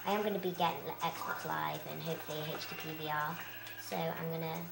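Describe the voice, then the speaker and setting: A young girl rambles through her let's play with plenty of fillers, her voice croaky and gravelly. She talks low for her age. The room around her sounds echoey. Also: brisk. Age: child. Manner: chatty, rambling, many fillers. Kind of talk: casual game commentary.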